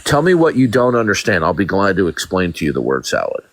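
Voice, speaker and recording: Male debate host, deep, dry close sound, combative and mocking, stutters on repeats. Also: big pitch swings, quick pace, no echo. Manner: domineering, stammers repeated words, mocking. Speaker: live-stream debate host.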